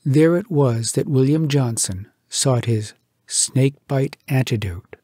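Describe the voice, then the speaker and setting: A man reading humorous poetry aloud as a storyteller, in an expressive, measured, theatrical way. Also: mid-range voice, breathy edge, dry recording, studio quality. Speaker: poetry reader. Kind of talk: dramatic storytelling recitation of humorous verse.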